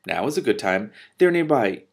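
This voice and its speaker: A man's voice: mid-range voice, big pitch swings, moderate pace, vocal fry, breathy edge, heavily acted. Man reading as an earnest, courteous young prince. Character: young prince, earnest, gallant, easily embarrassed.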